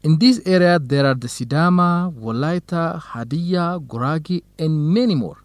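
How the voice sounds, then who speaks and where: An actor playing a kind, modest young researcher in an educational radio drama, speaking in measured, simple phrases. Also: male voice, fairly high pitch, no room sound, natural voice. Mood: informative.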